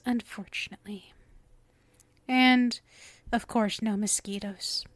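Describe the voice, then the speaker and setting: A woman's voice: fairly high pitch, no room sound. A woman playing a sweet, clingy, obsessive witch in an ASMR roleplay, cooing coyly to the listener and slipping into whispers. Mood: cheerful, darkly playful. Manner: coy, cooing, breaks into whispers. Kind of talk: ASMR roleplay acting, intimate address to listener.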